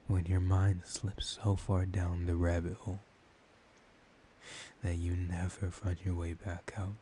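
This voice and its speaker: A man playing a dominant, menacing, seductive vampire in a soft-spoken ASMR roleplay, speaking in a hushed, deliberate way that slips into whispers. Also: low-pitched, level tone. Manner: slow, hushed, lapsing into breathy whispers, deliberate pauses. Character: dominant, menacing, seductive male vampire hypnotizing his prey. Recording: audible background hiss.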